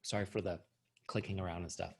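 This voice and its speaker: A man's voice: deep voice, steady tone, fast, gravelly, darkish tone, a little hiss or hum. A middle-aged man giving a sales training talk, upbeat and coaching, who is starting to lose his voice. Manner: upbeat, coaching, asks "right?" often. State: losing his voice. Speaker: company founder and trainer.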